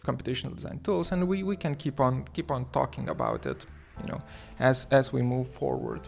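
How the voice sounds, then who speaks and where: Gravelly-voiced man chatting on a livestream. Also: medium pitch, animated, a little mellow, soft background music. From Lithuania. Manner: halting, many fillers and restarts, reads chat aloud. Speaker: livestream host. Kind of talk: casual livestream chat.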